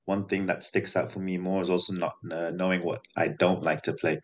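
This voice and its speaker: Man from the UK, guest DJ, very low voice, easygoing. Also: flat delivery, a little fast. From United Kingdom.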